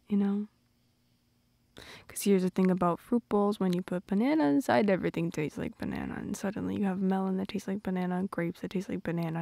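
Woman acting a playful girlfriend, lively pitch swings. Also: no echo, full character voice. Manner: intimate, often breathy and whispered, giggly teasing. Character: playful, affectionate young girlfriend on a night drive. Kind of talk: ASMR girlfriend roleplay.

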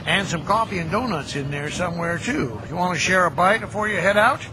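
Birdsong and outdoor noise surround a kindly, folksy American caretaker in his fifties. His voice sits a little high and moves around freely. He talks in a plain, neighborly way, as in a radio play. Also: expressive, brisk. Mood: wry, friendly. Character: folksy, kindly middle-aged graveyard caretaker who chats with the dead. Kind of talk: audio drama acting. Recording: noisy outdoor ambience with birdsong.

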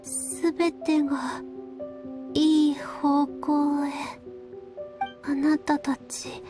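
Game casting: reserved young priestess, high soft voice, weary, courteous, slow delivery, music behind. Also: female voice, little pitch movement. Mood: drowsy murmur. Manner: soft, polite formal phrasing. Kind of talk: video game voice acting. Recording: background music under voice.

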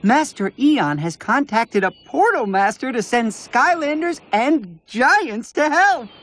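A little cartoon animal messenger, earnest and anxious. The voice is high and lively, pressing every point with fussy urgency. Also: female voice, slow, exaggerated voice. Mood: urgent, worried. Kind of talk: animated game cutscene acting.

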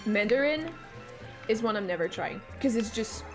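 A young woman with a French Canadian accent, whose first language is French, chatting casually and excitably while streaming a game, swearing freely and humming to herself. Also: fairly high pitch, moderate pace. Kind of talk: casual live-stream chat while gaming. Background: faint game music.

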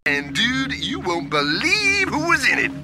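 A man's voice: very high-pitched, huge pitch swings, measured pace, loud music behind the voice, exaggerated voice. A man voicing a laid-back, dim alien surfer dude in a video game, with slangy slacker talk. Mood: excited, boastful.

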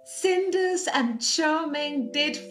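A woman's voice: sits high, takes it slowly, music in the background. A middle-aged woman playing a fairy godmother who narrates a comic pantomime like a storybook. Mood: playful. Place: living room at home. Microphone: video call. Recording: roomy video-call sound.

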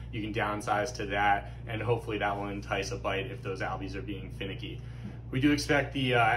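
A man talks through a fishing report from inside a tackle shop. His voice sits low and stays fairly flat, practical and unhurried. A faint hum of room noise sits underneath. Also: rough recording. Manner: steady, practical, product-focused.

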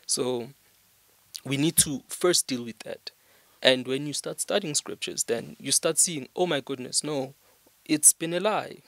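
Young south african guy talking earnestly on a podcast, medium pitch, close dry studio sound. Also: measured pace, vocal fry, fairly bright tone, no room sound. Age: young adult. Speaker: podcast guest. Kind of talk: conversational podcast discussion. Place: small indoor podcast studio. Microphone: microphone on a stand.

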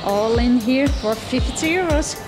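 Slow-talking woman vlogger over music. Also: fairly high pitch. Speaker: travel vlogger. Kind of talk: casual vlog chat. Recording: music behind the voice.

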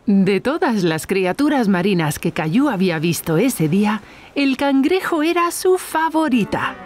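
A woman narrating a children's cartoon as a gentle storyteller for young children. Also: mid-range voice, sing-song, measured pace, soft background music. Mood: gentle storytelling.

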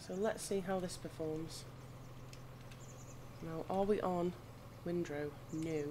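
A woman with a slightly croaky voice from hay fever, chatting casually and thinking aloud as she plays. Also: lowish voice, fairly even intonation, slow. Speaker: gaming channel host. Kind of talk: casual gameplay commentary. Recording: audible background noise.

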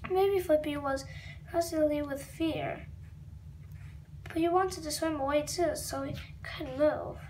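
Very high-pitched child reading aloud, slowly. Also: darkish tone. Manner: stumbles over words, wanders off into asides. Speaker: read-aloud video host. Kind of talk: reading a comic book aloud with asides. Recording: noisy home recording with room ambience.

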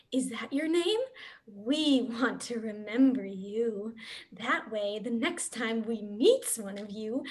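A young woman playing a sly, hungry male shark with babyish sing-song phrasing in a play reading. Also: a little high. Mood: hungry, teasing. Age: young adult. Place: small dim room indoors. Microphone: computer mic on video call.

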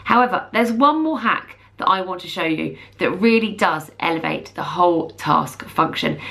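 A woman in her thirties presenting a software tutorial, explaining plainly step by step. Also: lowish voice, creaky. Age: 30s. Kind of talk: instructional screen walkthrough.

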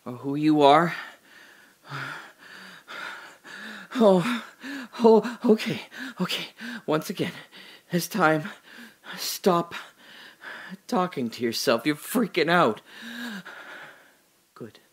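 Actor as husky, frightened man talking to himself. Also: on the high side, lively intonation, slow, pauses often, full character voice. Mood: agitated, confused. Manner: talks to himself in fragments. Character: terrified, confused man trapped alone in darkness. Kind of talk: audio drama monologue.

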